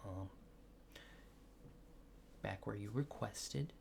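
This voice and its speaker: A soft-spoken man performs an ASMR roleplay at an unhurried, slow pace. His hushed voice has a gravelly fry and often slips toward a whisper, polite and clinical but hesitant. There is noticeable background noise in the recording. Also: on the low side. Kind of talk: soft-spoken ASMR roleplay as an alien abductor examining a subject.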